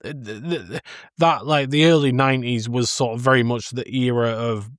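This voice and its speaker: Man, podcast co-host, casual conversational film chat, mid-pitched voice, dry recording. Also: steady tone, moderate pace, no echo.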